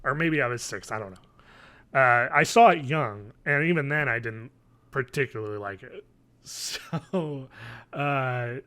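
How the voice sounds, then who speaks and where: A man's voice: medium pitch, unhurried, pushed and bright. A young man co-hosting a podcast in casual banter, with slangy, sweary talk. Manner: profane, slangy. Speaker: podcast co-host. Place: living space at home, indoors. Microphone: desk microphone, on video call.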